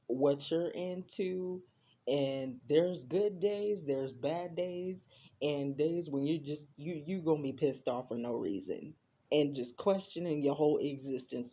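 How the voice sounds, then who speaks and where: Low, slow, mellow young woman vlogging. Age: 20s. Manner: reflective, chatty, repeats herself. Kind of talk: casual talk to camera.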